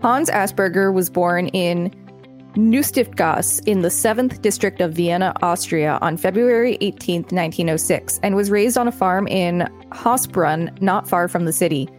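A woman co-hosting a podcast, reading an article aloud in a measured, deliberate way and breaking off for chatty asides. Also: fairly low pitch, soft background music.

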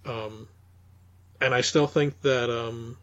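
A man co-hosting a podcast in casual conversation, haltingly repeating words as he searches for his phrasing. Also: medium pitch, fairly even intonation, a bit bright. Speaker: podcast co-host. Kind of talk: casual chat reviewing audio dramas. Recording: dull, lo-fi voice recording.

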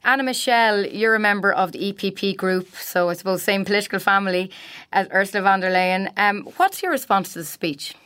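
A woman hosting a news programme, introducing guests and asking questions in a measured way. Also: medium pitch, fairly bright tone, clean tone. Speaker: news host. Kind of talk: news presenting and interviewing.

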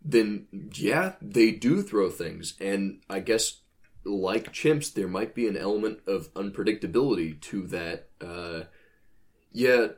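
Low-voiced man with animated, wry delivery. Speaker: podcast co-host. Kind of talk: casual speculative chat.

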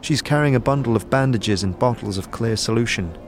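A man's voice: medium pitch, brisk, soft background music. A man giving scripted narration for a fantasy audio drama. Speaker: narrator and lead player.

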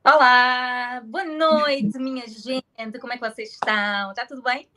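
A woman's voice: high voice, takes it slowly, pushed and bright, a little hiss or hum. A woman in her forties from Angola who grew up in Portugal, chatting casually and playfully as an interview guest. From born in Angola, grew up in Portugal. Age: 40s. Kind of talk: casual chat.

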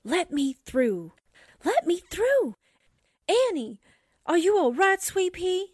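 A woman playing a shrewd, loyal, excitable secretary sidekick, brisk and emphatic in 1940s radio drama style. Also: very high-pitched, no echo, studio quality, full character voice. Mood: frantic concern.

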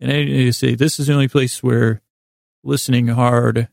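A man's voice: mid-range voice, level tone, normal speed, gravelly, darkish tone, no echo, studio quality. A man hosting a podcast as a rambling bedtime monologue, meandering off on mildly silly, self-deprecating tangents. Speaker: podcast host.

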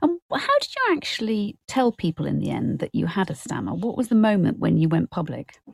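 A woman's voice: medium pitch, huge pitch swings, a little fast, creaky, breathy edge. A woman interviewing a guest, asking short, direct questions.

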